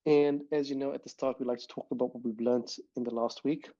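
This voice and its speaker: A man hosting a casual podcast, his voice dark and mellow. He talks in a loose, rambling way, full of ums and restarts, and the recording sounds slightly dull and lo-fi. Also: medium pitch, steady tone, quick pace. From immigrant to New Zealand. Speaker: podcast host. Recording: dull lo-fi audio.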